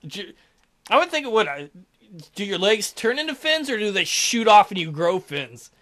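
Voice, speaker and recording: High, lively man reading a game aloud. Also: normal speed. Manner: crude, mocking asides. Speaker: let's play host. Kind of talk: reading a visual novel aloud with jokey commentary.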